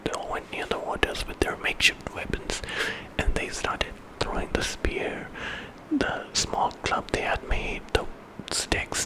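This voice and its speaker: A man's voice: bright, forceful tone, poor audio. A man telling a story in whispered ASMR style, informally, as if making it up with casual asides.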